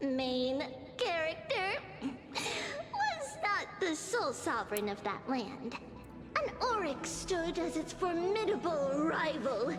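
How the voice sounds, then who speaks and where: A tiny impish female sprite tells tales in an extremely high voice, slowly and with grand drama. It is game narration, with music behind it. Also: in a large hall, full character voice.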